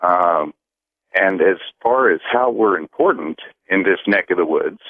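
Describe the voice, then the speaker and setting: A man calling a radio show by phone, speaking earnestly in a halting, thoughtful way. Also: deep voice, animated, no room sound. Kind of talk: earnest call-in conversation. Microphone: telephone. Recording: narrow phone-line sound.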